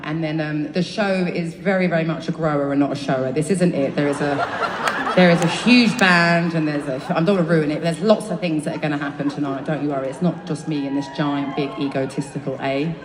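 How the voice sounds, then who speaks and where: A woman's voice: lowish voice, background noise. A woman with a London accent chatting to her concert audience between songs, rambling, swearing freely and laughing at herself. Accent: London English. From England. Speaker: singer on stage. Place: large concert venue. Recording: live concert audio, echoey arena from far off with crowd.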